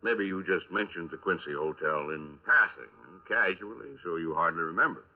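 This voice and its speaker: An actor playing a gruff police lieutenant in a radio crime drama, questioning with folksy, sardonic prodding. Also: male voice, low-pitched, moderate pace, lightly acted. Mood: pressing. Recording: tinny band-limited old radio recording.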